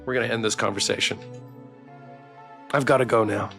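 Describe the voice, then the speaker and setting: A man's voice: fairly low pitch, steady tone, a little fast, gravelly, fairly bright tone. A man hosting a live game stream, reading story text aloud and chatting playfully with viewers. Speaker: livestreamer, game playthrough host. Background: background music.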